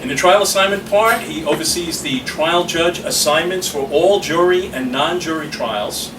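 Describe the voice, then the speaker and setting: A man's voice: a little high, moderate pace. New guy opening a lawyers' event, jokey, um-heavy, echoey room from far off w/ hum. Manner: joking, with many fillers. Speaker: chapter president introducing a debate. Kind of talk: public speaking at an event. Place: small meeting room.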